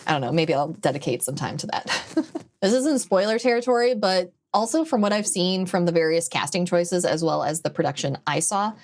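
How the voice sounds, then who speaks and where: A woman giving opinionated commentary in a conversational video essay style, with animated phrasing. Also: lowish voice.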